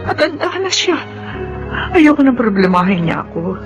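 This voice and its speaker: A woman's voice: fairly high pitch, sing-song, moderate pace, highs cut off, over music. A woman with a Filipino accent acting as a middle-aged, long-suffering wife and mother in a radio drama, speaking earnestly and emotively. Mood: weary, sorrowful.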